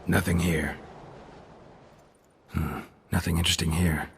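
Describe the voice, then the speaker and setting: Very low, gruff stoic monster hunter. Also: male voice, measured pace, a little hiss or hum. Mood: muttering, pensive. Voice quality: low gravelly voice. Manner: laconic, deadpan. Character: gruff, stoic male monster hunter, dry-witted and terse. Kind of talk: video game voice acting.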